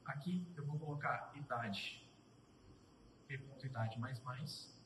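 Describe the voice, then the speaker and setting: A man's voice: medium pitch, far from the mic, noisy background. Young man live coding in an echoey room. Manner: casual, thinking aloud while typing, with pauses and restarts. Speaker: student presenter. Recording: roomy echo, voice off-mic.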